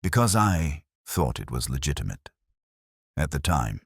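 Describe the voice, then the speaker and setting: A man's voice: very deep voice, sing-song, a little slow, vocal fry, breathy edge, no echo, studio quality, full character voice. A man voicing a polite but evasive professional under suspicion, guarded and defensive, in an audiobook mystery. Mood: defensive, worried. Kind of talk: audiobook detective story dialogue.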